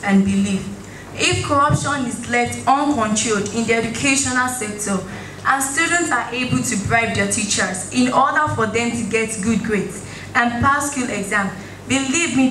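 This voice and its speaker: Young Nigerian female student orator, higher-pitched, earnest formal address in a reverberant room. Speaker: student speaker. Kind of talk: prepared formal speech. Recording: echoey room, distant mic, faint noise.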